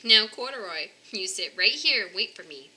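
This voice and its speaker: A woman's voice: medium pitch, animated, normal speed, pushed and bright. A woman voicing an eager, anxious little girl in a children's storybook. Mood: caring. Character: anxious, eager little girl who loves her bear.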